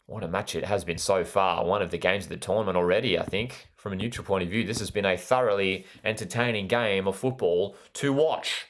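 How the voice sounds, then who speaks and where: An Australian man with a fairly low voice hosts a live football watch party. He calls the play with enthusiasm and speaks directly to viewers, often repeating a phrase for emphasis. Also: fairly quick, few pauses. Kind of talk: live sports commentary with chat interaction.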